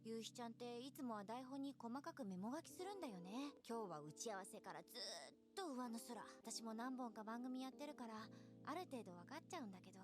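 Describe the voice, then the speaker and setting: Actress as composed young anime woman, perceptive, high voice, very fast talk, music behind. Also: animated, close to an everyday voice. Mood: gentle, knowing. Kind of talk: anime voice acting.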